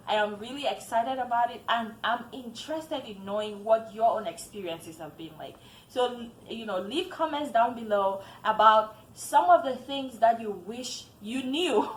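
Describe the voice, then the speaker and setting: A woman's voice: mid-range voice, a little hiss or hum. A woman from Cameroon giving earnest advice to camera in a vlog, often repeating phrases for emphasis. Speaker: vlogger. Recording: echoey room with faint ambience.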